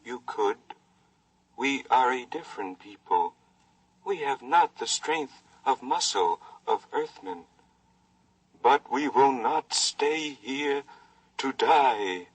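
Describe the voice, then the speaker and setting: A man's voice: mid-range voice, animated, breathy edge. A man playing a weary, dignified Martian who speaks formal, stilted words in a 1950s radio drama. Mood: weary, resolute. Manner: slow, stilted formal speech in a foreign tongue. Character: weary, dignified male Martian, frail and solemn. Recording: distant old radio recording.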